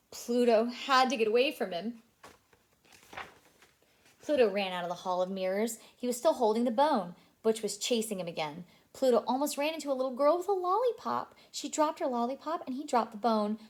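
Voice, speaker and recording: A woman reading a story aloud to young children as a childcare storyteller, expressive and animated. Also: mid-range voice. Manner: animated, asks listeners questions.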